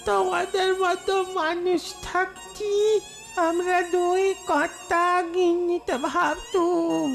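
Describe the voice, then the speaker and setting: A woman voicing a sorrowful old rustic ghost in a children's cartoon, with drawn-out, plaintive speech. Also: very high-pitched, level tone, vocal fry, faint music behind, exaggerated voice. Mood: earnest, regretful. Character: sorrowful elderly ghost of a poor treasure-seeker. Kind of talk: children's cartoon story acting.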